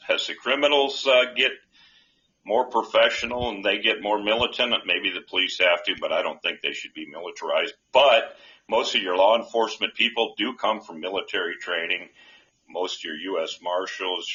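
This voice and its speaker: A man who hosts a call-in show as a candidate, answering questions in a halting, rambling way full of restarts. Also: deep voice, bright, forceful tone. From North Dakota. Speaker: senate candidate, show host. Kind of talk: rambling live call-in chat. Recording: dull lo-fi live stream audio.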